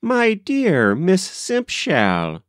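A man's voice: high-pitched, wildly swooping pitch, very slow, no echo, clean studio sound, pitched above the performer's own voice, heavily acted. A man voicing a proper, practical, flustered mother in a storybook, genteel and given to exclaiming. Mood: shocked, stern. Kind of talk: storybook character voice.

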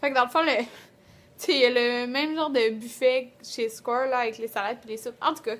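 High-voiced young Québécoise chatting casually. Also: female voice, steady tone, fairly quick, fairly bright tone. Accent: Quebec French. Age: 20s. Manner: chatty, colloquial. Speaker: beauty vlogger. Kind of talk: casual vlog chat to camera. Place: small rooms at home, indoors. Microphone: camera at arm's length.

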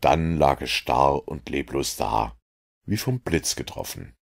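A man reading a detective novel aloud as an audiobook, in steady storytelling narration. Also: extremely low pitch, slow pace, creaky. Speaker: audiobook reader.

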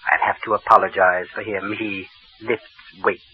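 A man's voice: lowish voice, flat delivery, normal speed, a little hiss or hum, natural voice. A man voicing a prim, unctuous undertaker. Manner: unctuous, prim, sing-song. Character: fussy ghoulish undertaker man, middle-aged. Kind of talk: 1940s radio acting. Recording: dull 1940s radio recording.

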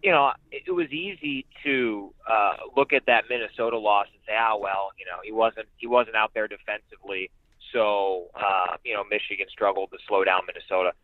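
A man's voice: lowish voice, expressive, normal speed, no room sound. A man giving conversational sports analysis as a radio announcer guest, emphatic and repeating phrases for emphasis. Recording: muffled, band-limited phone-like radio sound.

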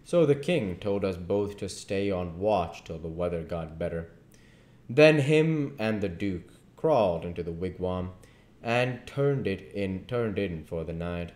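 A man reads a novel aloud in a very deep voice. His delivery is deliberate, with the odd stumble. The home recording has clear room echo. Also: lively intonation, a little mellow. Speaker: amateur audiobook reader. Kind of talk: reading a classic novel aloud. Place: living room at home, indoors.

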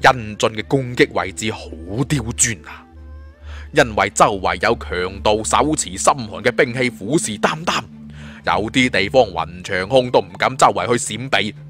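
A man narrating an adventure tale in as a theatrical, expressive audiobook storyteller. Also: on the low side, normal speed, faint music behind.

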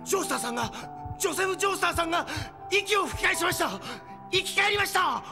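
An overjoyed, frantic emergency crewman in an audio drama. The voice is extremely high and rushed, stammering with urgency over clear music. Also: lively intonation, full character voice. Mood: frantic, amazed. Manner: breathless, stammering, urgent report. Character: frantic, overjoyed male emergency crew member. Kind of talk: Japanese audio drama acting.

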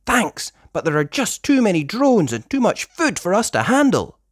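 A reader doing an eager high-pitched boy puppy. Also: big pitch swings, normal speed, no echo, clean studio sound. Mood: overwhelmed. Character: eager, helpful young male rescue puppy. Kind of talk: children's storybook character voice.

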